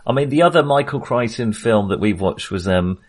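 A man co-hosting a film podcast in casual discussion, with a halting, hesitant and amused way of talking. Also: mid-range voice, animated.

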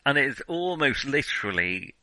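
A man's voice: medium pitch, big pitch swings, moderate pace, pushed and bright, no echo, poor audio. A man co-hosting a film podcast, chatting casually through a review in a hesitant, chuckling way as he thinks aloud.

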